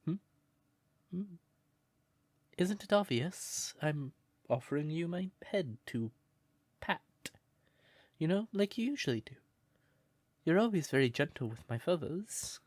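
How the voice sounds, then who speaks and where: A man playing a shy, sweet, curious crow turned human in a roleplay audio, speaking gently and breathily with hesitant stammers. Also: on the high side, very animated, measured pace, pauses often, no room sound. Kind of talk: soft roleplay audio, intimate character acting.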